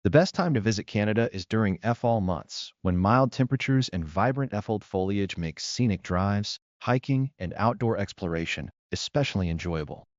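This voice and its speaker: A man's voice: on the low side, expressive, moderate pace, hardly any pauses, dry recording. A man reading scripted practice passages aloud. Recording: muffled lo-fi audio.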